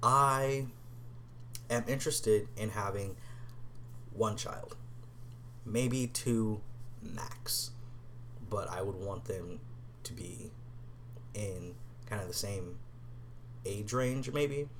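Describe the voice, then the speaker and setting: A young man with a fairly low voice talks casually to the camera in a soft, hesitant way. He fills his answers with ums and restarts and sometimes sinks to a near whisper. A faint hiss of noise sits under the voice. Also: extremely slow, long pauses. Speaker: vlogger. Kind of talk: casual vlog answering tag questions.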